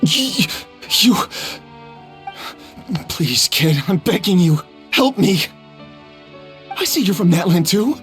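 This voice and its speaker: A middle-aged conniving gambler with a high voice that swings up and down dramatically. He is whiny, wheedling and theatrical, in video game style. Soft music sits behind him. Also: sing-song, normal speed. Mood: desperate begging. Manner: melodramatic, wheedling, stammering when cornered.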